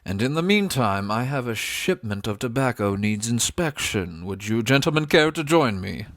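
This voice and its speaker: A man acts an authoritative nobleman in a period audio drama. His pitch moves freely in a dry, close sound. He speaks with stately formality. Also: very animated, moderate pace, fairly bright tone, no echo. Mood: firm, courtly. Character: authoritative, courtly duke hosting his guests.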